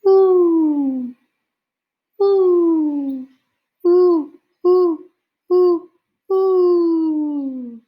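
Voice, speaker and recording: Woman doing a high, loud owl hoot. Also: drawn-out words, long pauses, lo-fi sound, full character voice. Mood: calling out. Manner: long repeated hooting calls. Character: man's imitation of great horned owl hooting.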